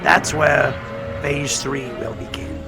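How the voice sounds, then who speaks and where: A man's voice: mid-range voice, fairly even intonation, slow, loud music behind the voice. An actor in an audio drama playing an eccentric, proud scientist father with a grandiose, explanatory manner. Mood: smug, intent. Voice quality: creaky, gravelly. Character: eccentric scientist father, proud, cold-blooded inventor of monsters. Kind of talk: full-cast audio drama acting.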